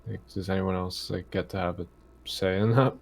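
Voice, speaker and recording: A man playing a tabletop game, chatting casually in a halting, hesitant way that often trails off. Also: low voice, steady tone, creaky.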